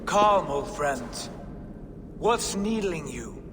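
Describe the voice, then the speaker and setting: An actor playing a loyal, cautioning warrior god in a video game, speaking in earnest, measured, formal counsel. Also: male voice, fairly high pitch, animated, noisy background. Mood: calm, reassuring. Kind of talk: dramatic game cutscene acting. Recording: echoing hall reverb under music.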